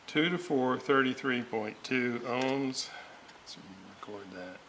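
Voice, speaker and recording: A man with a gravelly voice, muttering haltingly to himself as he thinks aloud through technical work and repeats readings. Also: mid-range voice. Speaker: radio restorer. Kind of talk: thinking aloud while working. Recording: clearly noisy workshop recording.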